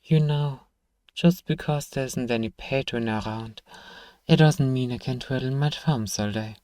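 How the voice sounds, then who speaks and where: A man's voice: mid-range voice, measured pace, a little mellow, smooth, no room sound, studio quality, lightly acted. A man playing an irritable, sarcastic fantasy apothecary in an ASMR roleplay, stern and given to exasperated sighs. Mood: weary, muttering. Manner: stern, sardonic, exasperated sighing.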